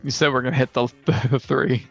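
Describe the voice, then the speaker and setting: A man chats casually as a gaming podcast co-host, his medium-pitched voice edged with gravelly fry. He speaks haltingly, repeating phrases. The recording is a little dull, with music behind. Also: fairly even intonation, fairly bright tone. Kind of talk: casual chat about tabletop gaming.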